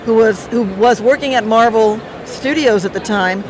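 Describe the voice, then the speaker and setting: A woman's voice: on the high side, moderate pace. A middle-aged or older woman giving casual, emphatic and appreciative answers as an interview guest. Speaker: interview guest, TV writer and producer. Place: busy comic convention. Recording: noisy busy convention with crowd din.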